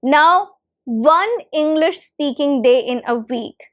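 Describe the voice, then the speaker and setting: A woman's voice: high-pitched, animated, takes it slowly, lo-fi sound. A woman whose first language is Hindi, giving an English lesson with emphatic repetition of key phrases. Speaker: English teacher. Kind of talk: instructional talk to camera.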